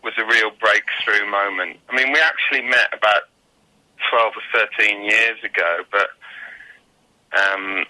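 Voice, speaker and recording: Very bright, slightly low male guest. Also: fairly even intonation, unhurried, low-quality recording. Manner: reflective, searching for words. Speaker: guest musician, singer-songwriter. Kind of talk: casual interview answers.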